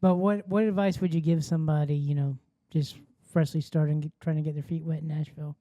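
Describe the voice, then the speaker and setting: Relaxed Southern man asking questions. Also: fairly high pitch, quick pace, no room sound, muffled recording. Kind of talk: casual interview questions.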